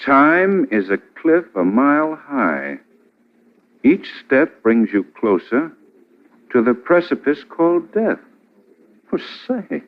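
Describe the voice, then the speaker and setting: An actor playing an urbane, confident gentleman sleuth with polished banter in 1940s radio drama style. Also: medium pitch, sing-song, slow pace, long pauses. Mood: intrigued. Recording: muffled, tinny old radio recording.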